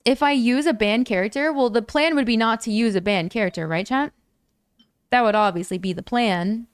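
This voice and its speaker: Young woman streamer yapping to chat, kinda high smooth voice, gets squealy and hyped over donations, a bit worn out. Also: normal speed, no room sound. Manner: chatty, bursts into high excited squeals thanking donors. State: voice a bit lower and worn from long talking. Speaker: gaming livestreamer. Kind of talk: casual livestream chat to viewers.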